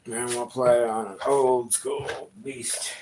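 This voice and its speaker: A man's voice: medium pitch, level tone, slow. A man chatting casually to viewers as he hosts a hobbyist radio livestream. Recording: clearly noisy live stream with clicks.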